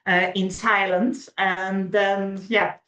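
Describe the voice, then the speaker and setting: A woman's voice: medium pitch, little pitch movement, slow, fairly bright tone. A woman in her forties from Switzerland, a guest in a casual interview, hesitant with many filler sounds. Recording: echoey room.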